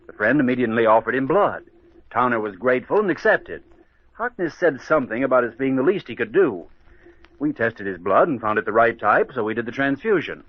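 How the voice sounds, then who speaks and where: A man's voice: mid-range voice, very animated, fairly quick. A man playing a businesslike hospital laboratory colleague in an old radio mystery, reporting in a matter-of-fact, explanatory way. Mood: explaining. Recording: dry, dull old radio recording.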